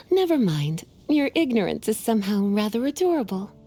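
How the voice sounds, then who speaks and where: A woman's voice: on the high side, big pitch swings, moderate pace. A woman playing a flirtatious, teasing, genteel witch librarian in a video game. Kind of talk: video game character acting.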